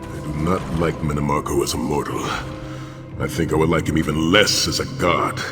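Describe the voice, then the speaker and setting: Solemn fantasy game warrior, really deep voice, formal and haunted, music behind him. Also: male voice, fairly even intonation. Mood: grave, resolute. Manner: solemn, formal, deliberate wording. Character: weary, stoic warrior haunted by torture, resolute and grave. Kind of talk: fantasy video game acting. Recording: long reverb, music behind the voice.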